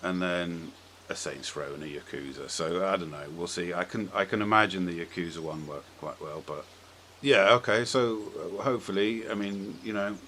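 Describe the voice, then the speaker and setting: A man's voice: low-pitched, steady tone, moderate pace, few pauses, bright, forceful tone. A man hosting a podcast, chatting casually and informally with strong opinions. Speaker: podcast host. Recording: clearly audible background noise.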